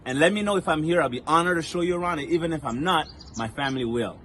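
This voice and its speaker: A man's voice: a little high, fast talker, fairly bright tone, slight background noise. A man from Rwanda, whose first language is Kinyarwanda, giving a friendly self-recorded video message outdoors among banana trees, listing points with fillers as he goes. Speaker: storyteller.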